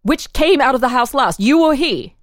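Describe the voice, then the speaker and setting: An insistent official interrogator, played by a woman, with a fairly high voice recorded dry and close. The questions come formal and leading, in the manner of a dramatized court record. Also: animated, moderate pace, dry recording. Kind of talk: dramatic reading of an interrogation transcript.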